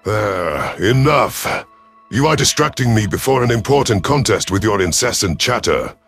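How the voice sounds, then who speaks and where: Man voicing a low, stern alien commander. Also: lively intonation, gravelly, fairly bright tone, faint music behind. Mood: exasperated. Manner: formal, measured, menacing. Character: stern alien reptilian commander, seasoned veteran duelist.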